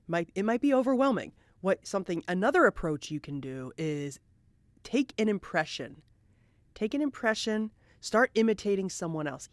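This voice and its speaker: A woman's voice: mid-range voice, no room sound. Encouraging woman teaching, in a dry recording. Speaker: voice actor giving a tutorial. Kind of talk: casual instructional talk to viewers.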